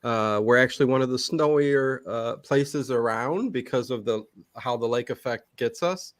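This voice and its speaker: A man's voice: medium pitch, moderate pace, dry recording. Midwest american guy, guest on a chat stream, casual slangy talk, repeats himself, dry sound. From Cleveland, Ohio, United States. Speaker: guest, horror show streamer.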